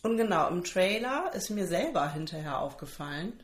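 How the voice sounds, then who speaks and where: A woman's voice: fairly low pitch, big pitch swings, normal speed, roomy sound. A woman with a stuffy nose from a cold, co-hosting a podcast in a chatty, teasing way and often cutting in. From Germany. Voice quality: nasal. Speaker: podcast co-host. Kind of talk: casual chat.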